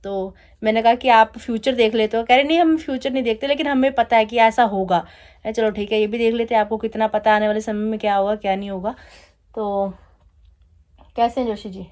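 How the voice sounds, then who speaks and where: A woman's voice: fairly high pitch, steady tone, brisk, a little mellow. Chatty woman vlogging casually at home. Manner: chatty and laughing, teasing her husband. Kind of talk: casual vlog chat. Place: home at night, DJ music playing outside.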